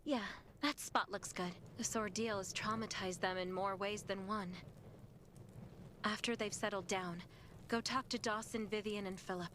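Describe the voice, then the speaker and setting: Woman acting as a gentle practical camp medic in a game, mid pitch, noticeable noise behind her. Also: fairly even intonation, moderate pace, lightly acted. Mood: caring, practical. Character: caring, helpful female medic in a survivor camp. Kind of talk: video game dialogue.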